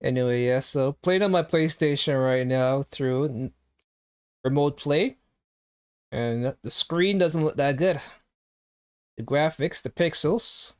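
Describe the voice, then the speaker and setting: Teasing young male streamer, fairly high voice. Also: slow pace, pauses often, darkish tone, clear, resonant tone. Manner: joking, teasing, reacting to chat. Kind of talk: casual live-stream chat.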